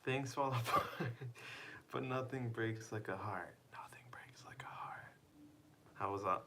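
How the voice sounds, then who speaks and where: A man's voice: medium pitch, unhurried, bright, forceful tone, whispered. A young man casually chatting on a live stream, playfully teasing and asking viewers questions.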